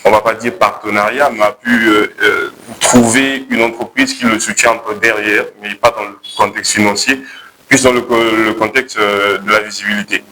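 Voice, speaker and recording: A young man with a Congolese accent pitching his project to a jury, hesitating and correcting himself as he goes. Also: fairly even intonation, normal speed, pushed and bright, slight background noise, low-quality recording. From Republic of the Congo. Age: young adult. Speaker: contest candidate pitching a project.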